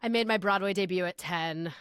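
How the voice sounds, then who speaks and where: A woman's voice: lowish voice, a little fast, fairly bright tone, smooth, no room sound. Chatty woman podcast host, dry sound. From Austin, Texas. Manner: chatty, upbeat, says like often. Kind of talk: casual chat.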